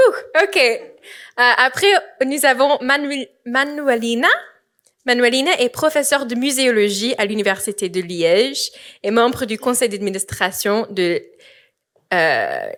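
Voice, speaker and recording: High-voiced woman slowly introducing speakers. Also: fairly bright tone. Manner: stumbling over names, laughs a lot. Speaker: conference host introducing speakers. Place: conference hall.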